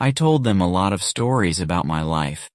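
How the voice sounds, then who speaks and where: A man reading a scripted story for English learners as narrator, measured and clearly enunciated. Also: low-pitched, expressive, dry recording, clean studio sound. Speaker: audiobook narrator.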